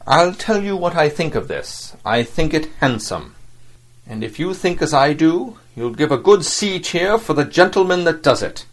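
A man's voice: a little high, big pitch swings, moderate pace, voice pushed up. A man voicing a stern ship's captain addressing his crew in formal, commanding speech. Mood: rousing. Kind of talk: audiobook character voice.

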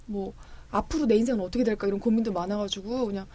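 A woman's voice: medium pitch, quick pace. A woman in her thirties, a guest author, talking in a casual, chatty way in an interview. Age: 30s. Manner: lively, chatty, laughs easily.

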